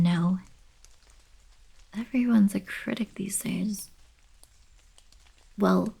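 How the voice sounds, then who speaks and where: Woman acting soft, husky, slow-talking young alien princess. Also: medium pitch, exaggerated voice, poor audio. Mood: bright, playful. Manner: soft, breathy, casual teasing delivery. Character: playful, teasing young alien princess, fond college girlfriend. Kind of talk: intimate ASMR roleplay, scripted monologue to the listener.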